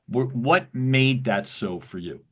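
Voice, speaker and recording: A man hosting a podcast interview, with a loud voice and an affirming way of speaking. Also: medium pitch, takes it slowly, slightly rough. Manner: warm, loud voice, affirming listener. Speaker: podcast host. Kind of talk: casual interview.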